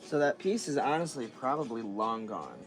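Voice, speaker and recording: A man's voice: medium pitch, sing-song, normal speed, faint music behind. A man chatting casually as he works on a repair, thinking aloud and often trailing off and restarting his sentences. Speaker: vlogger repairing dolls. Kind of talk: casual chat while working.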